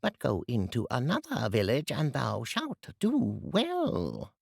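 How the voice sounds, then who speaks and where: A man voicing a solemn raven from a folk tale, giving slow, drawn-out warnings in archaic words. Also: medium pitch, huge pitch swings, creaky, slightly rough, dry recording, studio quality, heavily acted.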